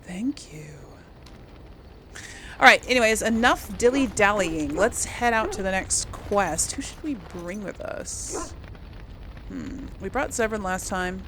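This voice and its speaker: A woman's voice: medium pitch, animated, takes it slowly, bright, forceful tone, slight background noise, low-quality recording. A woman giving casual, chatty video game commentary, thinking aloud as she plays.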